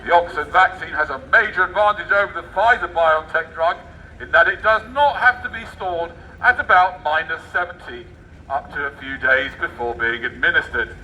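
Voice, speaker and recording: A man's voice: a little high, normal speed, bright, forceful tone. An English man reading a newspaper aloud in the street with sarcastic, declamatory commentary and muttered asides. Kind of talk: satirical public read-aloud with ad-libbed commentary. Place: outdoor public street. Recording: distant mic outdoors with faint traffic noise.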